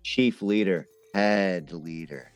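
Low-voiced, rambling ghost-hunting boss. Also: male voice, slow, no room sound, faint music behind. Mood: flustered, improvising. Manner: hesitant, rambling, repetitive. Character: eager, scattered male amateur ghost-hunting boss. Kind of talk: naturalistic comedic audio drama acting.

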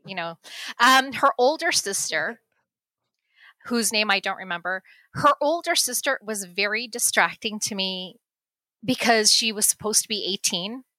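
A woman's voice: medium pitch, lively intonation, measured pace, pushed and bright, no echo, rough recording. A woman and mother chatting casually about a teen movie, with frequent restarts and laughter. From United States. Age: adult parent. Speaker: movie review guest.

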